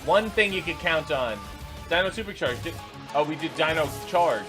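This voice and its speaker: A man with a somewhat high-pitched voice reacts to clips as he watches them. His running commentary is unscripted, incredulous and sarcastic, with an exasperated edge. Music plays clearly behind his voice. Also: lively intonation, normal speed, pushed and bright. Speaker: reaction video host.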